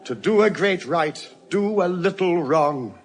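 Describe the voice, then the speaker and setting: An actor playing a loyal, impassioned gentleman pleading in a Shakespeare audio drama, with an earnest, declamatory manner. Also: high-pitched, expressive, a little slow. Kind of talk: classical stage acting in audio Shakespeare.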